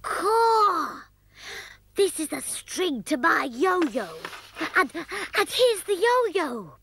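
A woman's voice: extremely high pitch, big pitch swings, drawn-out words, vocal fry, a bit bright, a little hiss or hum, pitched above the performer's own voice, full character voice. A woman voicing a curious, earnest little puppet child in a children's show. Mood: eager curious.

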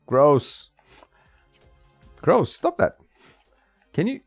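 A man's voice: fairly high pitch, sing-song, extremely slow, vocal fry, no room sound. A man giving casual gameplay commentary, often laughing and talking back to the characters on screen. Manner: chuckling, talks back to the game characters. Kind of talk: casual let's-play commentary. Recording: slightly dull lo-fi mic with game music.

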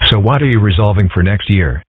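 A man voicing a mild, composed young boy fox in an amateur comic dub, with a deadpan delivery. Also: on the low side, a little fast, breathy edge, no room sound. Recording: muffled, phone-like narrow sound.

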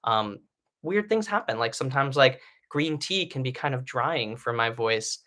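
Bright-voiced chatty young man teaching singing. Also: mid-range voice, lively intonation, normal speed. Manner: chatty, encouraging, many fillers and restarts. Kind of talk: live stream Q&A lesson. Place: home living room, indoors.

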